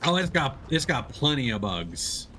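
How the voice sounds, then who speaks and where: A man's voice: mid-range voice, big pitch swings, measured pace, bright, forceful tone, slight background noise. A man doing casual, playful live commentary as he plays a game, full of exclamations and little muttered sound effects. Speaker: livestream gamer, host.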